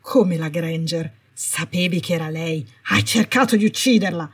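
A cold, indignant healer in an audiobook story, voiced by a woman. The voice is rather low and husky, clipped and commanding, full of accusation and threat. It is recorded in a roomy space. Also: moderate pace, studio quality. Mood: accusing. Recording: roomy, distant microphone.

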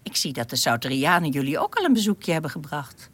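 A woman's voice: sits low, sing-song, fast talker, breathy edge, dry recording, heavily acted. A woman playing a friendly, self-assured young alien spacewoman in a radio drama, polite and easily amused. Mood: amused, helpful.

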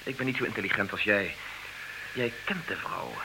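A man's voice: fairly low pitch, animated, normal speed, vocal fry. An actor playing a husband's mild, admiring and teasing male friend in a comic radio play, speaking expressively. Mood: doubtful, deferring. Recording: noisy, crackly old radio recording.

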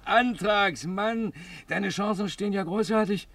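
Slightly distant, bossy patron. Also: male voice, high-pitched, unhurried, gravelly, a bit bright, heavily acted. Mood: encouraging. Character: bossy, exhorting male patron minding a hapless client. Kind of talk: 1950s German radio play acting.

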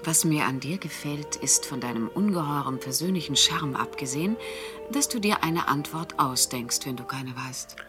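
An actress playing a shrewd, teasing confidante of a private detective in a German radio crime drama, speaking in a frank, probing way. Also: female voice, low-pitched, animated, moderate pace, music in the background.

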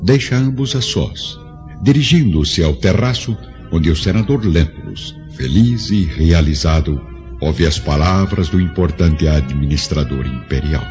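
A man's voice: very deep voice, expressive, measured pace, a little mellow. A man with a Brazilian accent narrating a radio drama in a solemn, literary way. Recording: dull lo-fi audio with music. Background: music.

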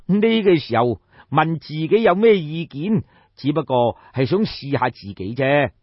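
A man's voice: a little high, very animated, measured pace, dry recording, dull audio. A man with a Cantonese accent narrating historical fiction as an audiobook storyteller.

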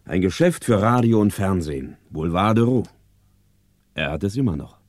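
A police investigator in a 1960s German radio crime play. He reports matter-of-factly in short phrases, with a low, mellow voice and lively intonation. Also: normal speed. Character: matter-of-fact male police investigator reporting his findings.

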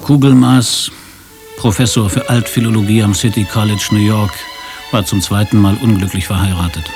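A male narrator with a fairly low voice tells a story for radio drama. His delivery is dry and literary, and music plays clearly behind him. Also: fairly even intonation, normal speed. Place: radio studio.

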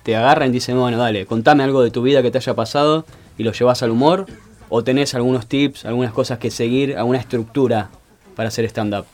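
A man's voice: medium pitch, steady tone, fast talker. Man, Argentine Spanish accent, radio host, teasing, asks lots of questions. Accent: Rioplatense Spanish. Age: 30s. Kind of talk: casual radio chat.

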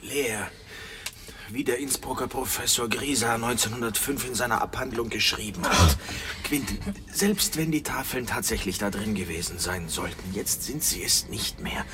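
A man's voice: moderate pace, background noise, lightly acted. An actor playing a weary, anxious middle-aged father with a wry, sarcastic streak in a radio drama. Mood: anxious, urging. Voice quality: deep, gravelly.

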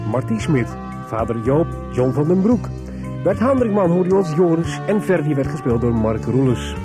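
A man as a radio announcer reading scripted closing credits for a soap. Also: on the high side.

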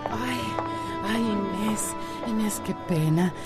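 An actress with a Costa Rican accent playing an elegant, melancholy salon owner, hesitant and evasive, in a radio soap. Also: female voice, medium pitch, slow pace, over music, lightly acted. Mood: polite, light.

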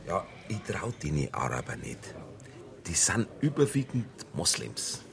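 Grumpy bavarian pub regular, blunt dialect ranting, voice swings up and down, radio play. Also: male voice, sits low, big pitch swings, unhurried, vocal fry, soft background music, heavily acted. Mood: grumbling. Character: indignant, opinionated Bavarian pub regular, xenophobic grumbler. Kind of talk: German radio crime play dialogue.

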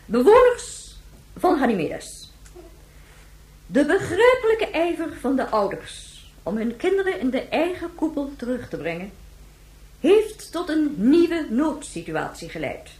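A stately female head of government speaks in a high, widely swinging voice. She delivers formal emergency announcements in a radio play, and the sound is roomy and echoing. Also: big pitch swings, darkish tone. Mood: solemn, official. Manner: stately, measured, official. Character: formal female planetary president reading emergency measures. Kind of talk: formal government broadcast speech in radio drama.

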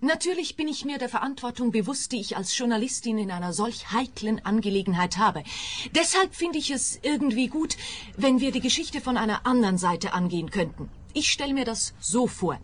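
An earnest, politically correct reporter in a radio comedy. Her voice is a bit high and rough-edged, with a prim, self-important, wordy delivery. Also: lively intonation, brisk. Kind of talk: comic radio play acting.

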